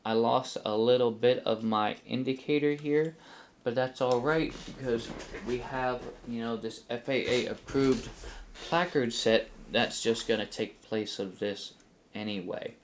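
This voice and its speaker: Halting middle-aged man, casual explainer. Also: medium pitch. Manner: halting, thinking aloud. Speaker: host of a DIY flight simulator build channel. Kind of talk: casual hands-on build explanation. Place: small home workshop room, indoors. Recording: faint background room noise.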